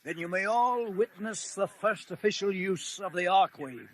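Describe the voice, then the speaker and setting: A man's voice: sits high, lively intonation, normal speed, low-quality recording. A man playing a commanding, self-assured Emperor with a formal manner in a space adventure audio drama. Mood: stern, triumphant. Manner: formal, regal.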